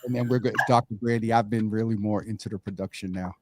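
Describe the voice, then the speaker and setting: A man's voice: fairly low pitch, steady tone, fast talker, a little mellow, a little hiss or hum. A man hosting a live morning show with casual, teasing banter, often repeating himself and talking over his guest.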